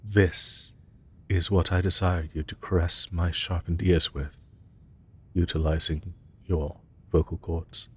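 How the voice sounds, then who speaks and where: A man's voice: deep voice, level tone, slow, slightly rough, full character voice. A man voicing a haughty, grandiose but tender dragon professor in a scripted fantasy roleplay, speaking in archaic, pompous, formal phrases. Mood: lofty, patient.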